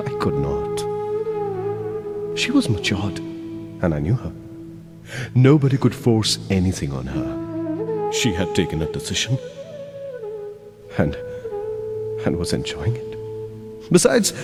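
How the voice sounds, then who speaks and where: A man's voice: low voice, heavily acted. An actor with an Indian accent playing an awkward, tender young man secretly in love in an audio play, hesitating and repeating his words. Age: young adult. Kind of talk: audio play acting with introspective monologue. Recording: long reverb, music underneath. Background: music.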